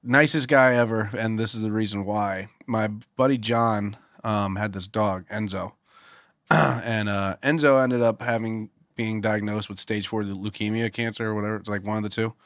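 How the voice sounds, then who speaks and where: A man, a singer-songwriter guest, telling animated stories in casual podcast chat with lots of fillers and laughter. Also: lowish voice, moderate pace, no echo, studio quality.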